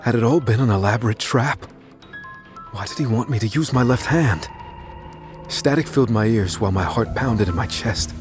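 An actor playing a man recounting a tense showdown with a killer, in measured, suspenseful first-person narration. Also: medium pitch, over music, natural voice. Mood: tense, uneasy. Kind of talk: scripted dramatic audio fiction narration.

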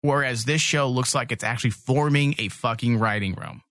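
A man's voice: medium pitch, moderate pace, fairly bright tone, no echo, studio quality. A man hosting a casual fan podcast, talking in a blunt way and prone to swearing. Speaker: podcast host.

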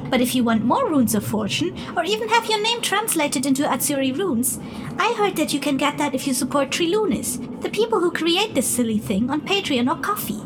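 A woman's voice: very high voice, background noise. A woman playing a playful immortal spirit in a fantasy audio drama, teasing and condescending to mortals with frequent giggles. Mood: coy, teasing.

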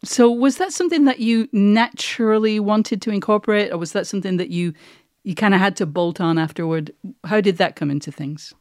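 A woman's voice: medium pitch, fairly quick, a little mellow, no room sound, studio quality. A woman hosting a conversational interview, asking long, discursive questions with asides. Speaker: podcast host, interviewer.

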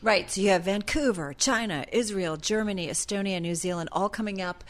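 A woman talks quickly as a radio co-host. Her voice is mid-pitched, and she speaks in a casual, conversational business radio style.

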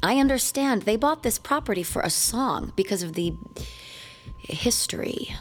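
An actress playing a young, practical wife who coaxes in a polished, persuasive way, in a horror radio drama. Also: female voice, a little high, expressive, moderate pace. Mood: coaxing, concerned. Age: young adult. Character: young, practical, coaxing wife of a ghost hunter.

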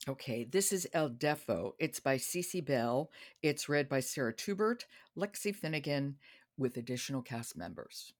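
A woman's voice: extremely low pitch, animated, moderate pace. A woman hosting a podcast, interviewing in a conversational way. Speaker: podcast host.

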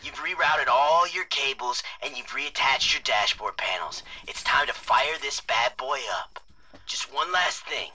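A man's voice: mid-range voice, unhurried, bright, forceful tone, slightly rough, full character voice, low-quality recording. An actor playing a cocky, slangy man instructing viewers in a DIY tutorial video in an audio drama. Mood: upbeat, instructional.

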